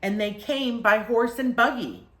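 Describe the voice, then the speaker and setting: A woman's voice: fairly high pitch, unhurried, fairly bright tone, roomy sound, pitched above the performer's own voice. A woman reading a storybook and voicing an anxious, critical mother who complains and protests. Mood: protesting.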